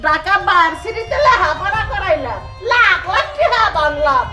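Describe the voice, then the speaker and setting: A woman's voice: extremely high pitch, animated, normal speed, exaggerated voice. A woman playing a hot-tempered, scolding mother in a melodramatic short film, with a Bangladeshi accent. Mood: angry, scolding. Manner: scolding, berating. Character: hot-tempered, domineering mother guarding family honour. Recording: echoey, distant mic, loud music behind.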